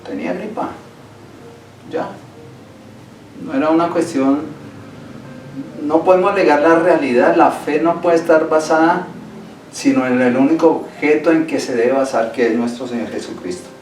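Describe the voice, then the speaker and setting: A man's voice: on the high side, normal speed, pauses often, a little mellow, slight background noise. A male pastor preaching a Sunday sermon in a church, emphatic and repeating key phrases for stress. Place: church sanctuary. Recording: echoey hall, voice from far off.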